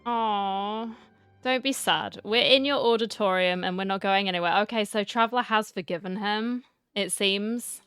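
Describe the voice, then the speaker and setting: A woman's voice: medium pitch, normal speed, a bit bright, smooth, faint music behind. A woman giving casual commentary while playing through a video game story. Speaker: gamer reacting to a story quest.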